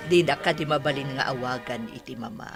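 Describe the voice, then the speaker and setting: A man acting a warm, congratulating parent in an Ilocano radio drama, with an Ilocano accent. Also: mid-range voice, normal speed, music in the background, lightly acted. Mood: warm, teasing.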